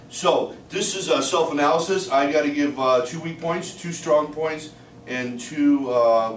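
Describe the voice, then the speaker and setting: A man in his thirties gives a classroom speech, speaking plainly and filling pauses with "uh" before rushing ahead. He is heard from across a small, roomy space. A faint hiss sits under the voice. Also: mid-range voice. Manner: plain-spoken, many "uh" fillers, rushes in spurts. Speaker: student giving a class speech. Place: small room with a few listeners. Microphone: camera across the room. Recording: echoey room from far off.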